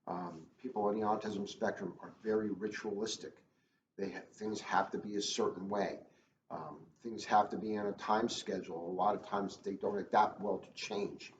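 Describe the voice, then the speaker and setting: A man with a fairly low voice talks casually and earnestly, stressing points by repeating them. The recording is echoey and dull, with a faint layer of noise. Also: steady tone, moderate pace. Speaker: police school resource officer. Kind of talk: casual, earnest talk to children. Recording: roomy, muffled, faintly noisy.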